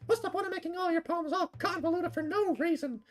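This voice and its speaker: A woman voicing a bratty, hot-tempered teenage anime girl in a video game, sharp-tongued and indignant. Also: extremely high pitch, fast talker, voice pushed up. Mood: angry, scornful. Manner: snappy, indignant, taunting. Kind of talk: visual novel character voicing.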